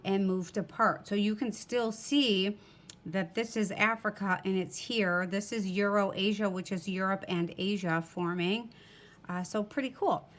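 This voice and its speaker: A woman's voice: medium pitch, a bit bright. A woman teacher reads a textbook aloud to students, breaking off for informal explanatory asides.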